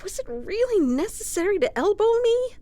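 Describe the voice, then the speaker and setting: A woman's voice: very high-pitched, very animated, moderate pace, dark tone, no room sound. A woman playing a wry, composed, battle-worn ruler in a scripted fantasy audio roleplay, with formal, teasing, dry delivery. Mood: mock annoyed. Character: wry, composed female ruler of a fantasy kingdom, wounded ex-fighter.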